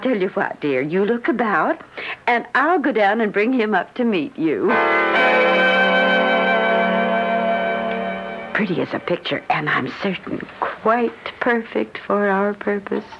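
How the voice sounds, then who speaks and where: A woman's voice: medium pitch, lively intonation, lightly acted. An actress playing a folksy, sinister landlady with a sweet motherly front, prim and gushing, in an old-time radio mystery. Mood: sly, eager. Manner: prim, gushing, hesitant with sly pauses. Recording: dull, hissy old radio recording.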